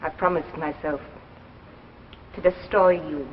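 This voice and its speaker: An actress playing a bitter, unhinged wife in a 1950s radio drama, taunting and melodramatic. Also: female voice, low voice, steady tone, a little slow, a little hiss or hum. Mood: vengeful, agitated. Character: bitter, vengeful wife, unhinged by a drug, threatening. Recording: dull old radio recording, faint music.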